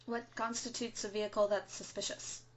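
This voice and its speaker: A woman's voice: mid-range voice, level tone, brisk, close to an everyday voice. A woman playing an earnest, slightly hesitant host of an underground resistance broadcast in an audio drama. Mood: curious. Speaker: radio host. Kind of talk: scripted audio drama, interview. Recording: noisy, dull lo-fi audio.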